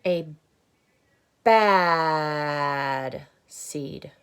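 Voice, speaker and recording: A woman's voice: deep voice, fairly bright tone, clean tone, exaggerated voice. Woman voicing a grumpy, emphatic little storybook seed. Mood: defiant, boastful. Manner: emphatic, drawn-out, confessional. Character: boastful, grumpy little male sunflower seed, self-declared bad. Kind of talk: picture-book character read aloud.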